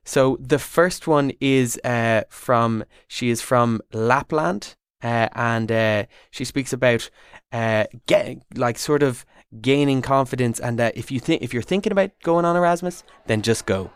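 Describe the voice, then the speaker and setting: A man's voice: mid-range voice, a little slow, hardly any pauses, clear, resonant tone, no room sound, clean studio sound. Young Irish man chatting on radio. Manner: chatty, hesitant with many fillers and restarts. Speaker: trainee reporter and co-presenter. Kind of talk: casual radio chat.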